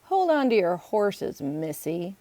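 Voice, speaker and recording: A woman's voice: mid-range voice, very animated, takes it slowly, mellow. A woman voicing a confident, bossy young boy detective in a children's storybook.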